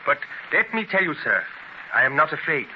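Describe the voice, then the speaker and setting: An actor playing an ambitious, intense immigrant newspaper publisher in earnest, declamatory 1930s radio drama style. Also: male voice, on the high side, sing-song, brisk. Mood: eager, earnest. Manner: earnest, declamatory, theatrical delivery. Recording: noisy, dull 1930s radio transcription.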